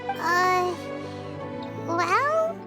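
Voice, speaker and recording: A woman voicing a tiny, childlike, excitable fairy companion in a video game, squeaky and earnest, with music behind her. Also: very slow, exaggerated voice. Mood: puzzled.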